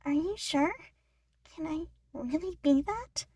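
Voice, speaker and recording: A woman's voice: high-pitched, lively intonation, slow pace, a little mellow, no room sound, pitched above the performer's own voice, full character voice. A woman voicing a determined, guarded young female chipmunk singer in an earnest way for an audio story. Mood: doubtful. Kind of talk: fan fiction audio story acting.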